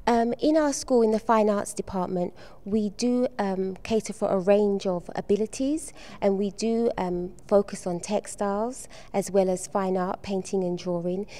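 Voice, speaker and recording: A middle-aged woman from the UK, soft-spoken and hesitant with frequent ums, answering questions as an art exhibition organizer at a school event. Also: mid-range voice, steady tone, normal speed, few pauses. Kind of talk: on-location TV interview.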